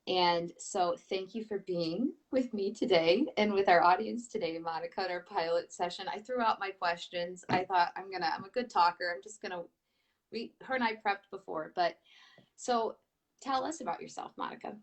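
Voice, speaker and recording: A woman with a nasal Michigan accent hosting a show, chatty and quick to laugh. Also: fairly low pitch. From Michigan, USA. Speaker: podcast host. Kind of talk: casual interview hosting.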